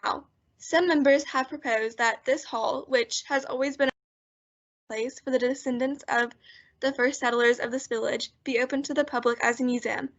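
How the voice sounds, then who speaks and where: Earnest townsperson, played by a woman, high voice, cautious, radio drama, muffled lo-fi recording. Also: fairly even intonation, moderate pace. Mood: formal, official. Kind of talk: old-time radio drama acting. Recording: dull lo-fi audio.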